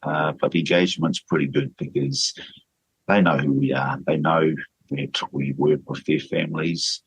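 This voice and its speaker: A man's voice: rumbling low, fairly even intonation, moderate pace, husky, no room sound, rough recording. A man leading a church, speaking earnestly and steadily in a radio interview, with fillers and restarts. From New Zealand. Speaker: church leader.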